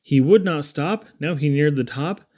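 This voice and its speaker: A man's voice: on the high side, unhurried, a little mellow, dull audio. Man reading children's verse theatrically. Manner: theatrical, storytelling delivery with dramatic emphasis. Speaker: reader. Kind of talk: expressive poetry reading for children.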